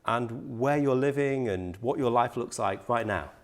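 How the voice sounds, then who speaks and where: A man's voice: mid-range voice, unhurried. A man hosting an interview, introducing his guest and asking questions in a friendly, encouraging way. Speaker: interview host. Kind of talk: hosted interview.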